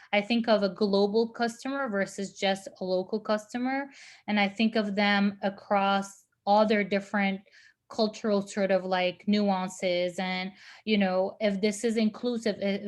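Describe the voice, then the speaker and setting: A woman speaks in a middling, ordinary pitch as a guest on a virtual panel. She answers questions thoughtfully, pausing to search for the right words, heard over a video call. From Lebanon.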